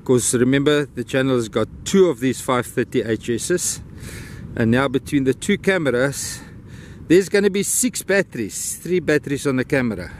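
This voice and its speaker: Afrikaans-accented man, mid-pitched, rambling outdoor vlog talk on a camera mic, faint background noise. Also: normal speed. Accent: Afrikaans-accented English. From South Africa. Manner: rambling, grateful, talks fondly to his dog. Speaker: vlogger. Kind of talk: casual vlog narration while walking a dog. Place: outdoors on a beach and a wooden boardwalk trail.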